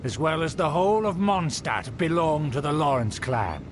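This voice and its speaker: Pompous scheming aristocrat, theatrical and formal, high lively voice, video game villain. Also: male voice, moderate pace, noisy background. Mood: haughty, boastful. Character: pompous scheming aristocrat man obsessed with clan glory. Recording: game dialogue with music behind.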